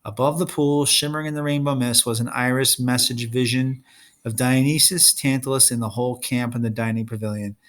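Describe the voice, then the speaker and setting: A man reading a story aloud as an expressive narrator. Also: medium pitch, brisk. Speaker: storybook reader.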